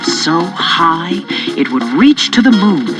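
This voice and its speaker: Highly animated children's story narrator. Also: slow. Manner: expressive, theatrical. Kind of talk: scripted storybook narration, rhyming in places. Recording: music behind, from cassette tape.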